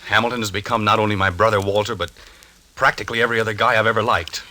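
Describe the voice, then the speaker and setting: An irritable, obsessive husband in a vintage 1940s radio drama. His low-pitched voice is very sharp and forceful, pressing each point with testy, defensive insistence. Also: steady tone, racing, poor audio. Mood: agitated, indignant.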